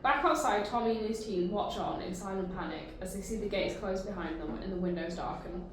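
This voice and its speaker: A woman's voice: mid-range voice, a little fast, heavy reverb, background noise. A woman reading narration and stage directions at a table read.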